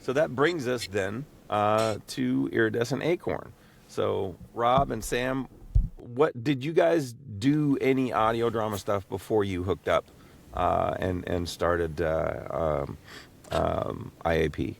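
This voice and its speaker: A man with a deep voice hosts a casual podcast chat. His delivery rambles and stops and starts as he works out his thoughts. The voice has a lot of fry, and there is faint noise in the small booth. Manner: halting, rambling, thinking aloud with repeated words. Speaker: podcast host and voice actor. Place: small home recording booth.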